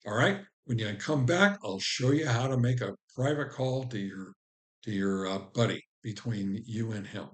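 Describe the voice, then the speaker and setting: A man hosting a casual how-to video, talking informally and somewhat haltingly as he explains each step. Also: medium pitch. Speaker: host of a ham radio how-to channel. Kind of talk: casual tutorial narration.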